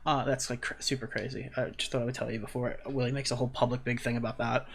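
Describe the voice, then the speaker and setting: A man's voice: medium pitch, level tone, fast, creaky, fairly bright tone, husky. A man talking casually on a recorded voice call, in loose slangy phrasing with swearing. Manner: hedging slangy talk, swears freely. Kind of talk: casual, incredulous questioning. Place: online voice call.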